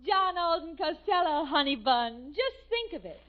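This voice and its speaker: A woman's voice: high voice, big pitch swings, normal speed, faint music behind. A woman playing a sweet, adoring young pilgrim sweetheart in an old-time radio comedy sketch. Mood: adoring, dreamy. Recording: muffled, distant 1940s radio recording.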